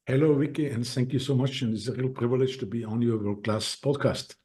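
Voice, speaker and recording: A man's voice: mid-range voice, fairly even intonation, dark tone. A man with a heavy Austrian accent, talking earnestly as a podcast guest and restarting his sentences often. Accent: Austrian German. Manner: earnest, halting with many restarts. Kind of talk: casual interview talk.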